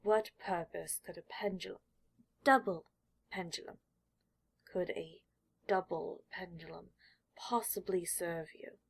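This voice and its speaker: A woman's voice: lowish voice, steady tone, slow, vocal fry, pauses often, husky, heavily acted. A woman voicing a disdainful aristocratic father in a clipped, world-weary manner for an audiobook story.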